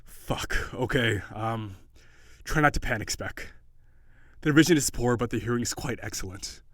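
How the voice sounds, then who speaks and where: A man's voice: medium pitch, normal speed, a bit bright, dry recording, clean studio sound, natural voice. A man voicing a haughty, weary prince turned gigantic sea monster, with formal, old-fashioned, prissy diction in an audio roleplay. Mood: urgent, anxious.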